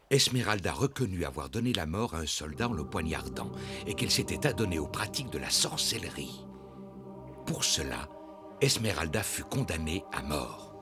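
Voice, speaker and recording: An actor as the grave storyteller of an animated film, reading scripted narration in a formal, solemn manner. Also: male voice, low-pitched. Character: grave male storyteller of a dark tale.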